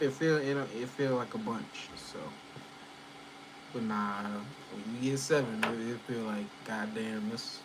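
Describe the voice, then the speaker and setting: Give me a man in his thirties chatting casually at home, loose and profane, with a clear air-conditioning hum behind him. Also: mid-range voice. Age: 30s. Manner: loose, rambling, sprinkled with profanity and fillers. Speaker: channel host chatting with viewers. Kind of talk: casual livestream chat.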